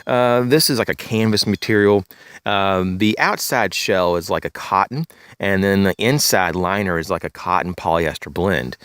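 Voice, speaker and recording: A man with a slightly raspy, congested voice, casually reviewing his backpack outdoors, rambling with many fillers and clearing his throat. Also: low-pitched, normal speed, fairly bright tone, dry recording, clean studio sound. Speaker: gear reviewer, channel host. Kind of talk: casual show-and-tell gear review. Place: outdoors in leafy woods, bag on a chair.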